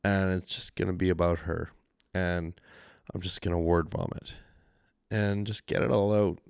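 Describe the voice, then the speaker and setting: A man's voice: deep voice, level tone, normal speed, gravelly, darkish tone, clear, resonant tone, no echo, clean studio sound. A man with a Canadian accent hosting his own solo podcast, rambling and self-deprecating in casual chat, with frequent swearing. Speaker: podcast host. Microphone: studio mic with mixer.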